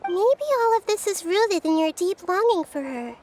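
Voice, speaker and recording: A wise little goddess from an anime game, with a very high girlish voice. She speaks gently and earnestly, weighing her thoughts, with faint music underneath. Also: expressive, moderate pace. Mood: gentle, serious. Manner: soft-spoken, thoughtful, earnest. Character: gentle, wise, childlike young girl deity.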